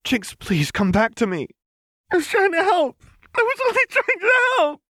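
A man's voice: very high voice, huge pitch swings, normal speed, a bit bright, no room sound, clean studio sound, voice pushed up, exaggerated voice. A man voicing a caring, worried young woman who is earnest and reassuring, in an audiobook. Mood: pleading.

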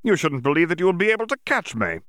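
A cornered dark wizard bargains his way out, his voice pitched up high and pushed hard with defiance, as a man acts him out. He sounds sharp and mocking, and the reading is dry and close. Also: sing-song, quick pace, no echo. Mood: taunting.

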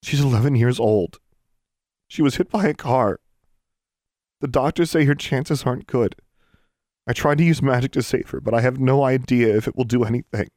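A man's voice: low voice, normal speed, darkish tone, dry recording, clean studio sound. A man voicing a teenage girl witch who is desperate, defiant and insistent, as in an audiobook. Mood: urgent, pleading. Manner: insistent, challenging.

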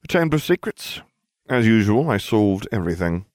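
A man's voice: lowish voice, very animated, moderate pace, a little mellow, no echo, studio quality, pitched above the performer's own voice. A man voicing an arrogant, scheming schoolboy wizard who talks in a haughty, self-satisfied way. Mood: smug.

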